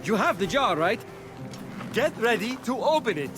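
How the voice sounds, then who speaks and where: An actor playing a glib, wry and playful trickster messenger god in an animated video game. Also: male voice, high-pitched, normal speed, over music. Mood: wry, hurried. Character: quick-witted male trickster messenger god, flippant and playful.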